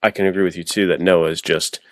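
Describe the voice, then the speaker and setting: A man's voice: sits low, fairly even intonation, quick pace, dry recording, studio quality. A man chatting casually and reflectively as a podcast guest, informal and earnest, thinking aloud in long runs. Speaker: podcast guest, adventure novelist.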